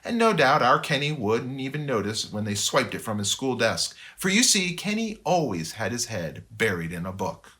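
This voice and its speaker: An animated man reads a storybook aloud for children. His voice is bright and swings widely in pitch, and he slips into chatty, expressive asides. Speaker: author reading their book aloud.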